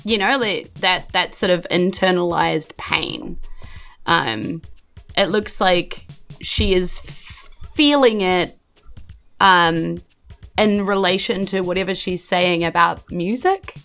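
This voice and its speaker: Female game master, New Zealand accent, unhurried improvised narration with noticeable vocal fry. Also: fairly low pitch, fairly bright tone, soft background music.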